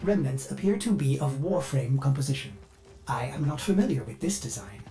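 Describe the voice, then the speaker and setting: An actor playing an over-eager, fussy artificial intelligence that serves aboard a spaceship in a video game. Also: male voice, mid-range voice, expressive, fairly quick, warm tone, lightly acted, low-quality recording. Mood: helpful, analytical. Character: chirpy, bug-addled male ship AI, eager servant with dark glitches. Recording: music behind the voice.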